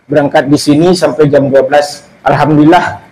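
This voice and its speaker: A man's voice: on the high side, steady tone, a little fast, darkish tone, poor audio. A man from West Sumatra giving a seminar talk to a live audience as guest speaker, in a loose, rambling way with many restarts. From Solok, West Sumatra, Indonesia.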